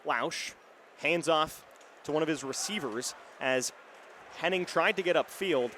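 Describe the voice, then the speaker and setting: A man's voice: on the high side, measured pace, sharp tone. A young man doing live radio play-by-play commentary of an American football game. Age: young adult. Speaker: radio play-by-play sports announcer. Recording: live stadium booth, clear background noise. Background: stadium crowd and outdoor ambience.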